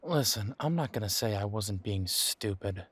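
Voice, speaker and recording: A man playing a bitter, wry young werewolf in an audio roleplay, talking haltingly and sardonically as if in intimate one-sided conversation. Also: lowish voice, expressive, fairly bright tone, husky, dry recording. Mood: rueful, bitter. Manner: halting, sardonic, conversational with sighs and repeated words.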